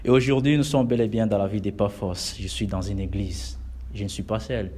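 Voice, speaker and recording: A man from Congo hosts an interview inside a church, punchy and emphatic, sliding between French and Lingala. His voice sits fairly low and pushes upward on his greetings and questions. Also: steady tone, fairly quick, mellow. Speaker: web TV interviewer. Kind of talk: lively interview hosting.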